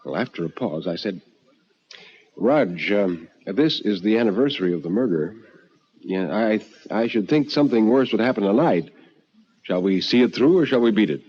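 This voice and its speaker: An actor playing a frightened, haunted man who tells a ghost story in a theatrical, halting way, like old radio horror. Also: fairly low pitch, animated, slightly rough, dull audio. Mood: subdued. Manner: theatrical, halting with stammered repeats. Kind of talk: dramatic old-time radio horror narration.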